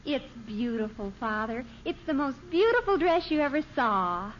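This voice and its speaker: A woman's voice: sits high, sing-song, normal speed. A woman playing an earnest, chattering American teenage girl who wheedles her father in a 1950s radio sitcom. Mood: gushing, wheedling. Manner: breezy, earnest, gushing. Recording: dull, hissy old radio recording.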